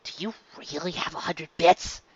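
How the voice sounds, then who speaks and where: A woman acts a brash schoolkid pegasus pony in a storybook reading. The filly is excitable, casual and prone to grumbling. The recording is dry and a little dull. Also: deep voice, normal speed, slightly rough, dry recording, voice pushed up. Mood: curious. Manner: casual, grumbly when scolded.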